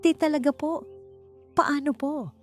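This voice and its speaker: A woman's voice: high voice, a little slow, soft background music, pitched above the performer's own voice. A woman voicing an anxious, humble young housekeeper in an audiobook drama, speaking hesitantly and deferentially with a trembling voice. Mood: hopeful, wary.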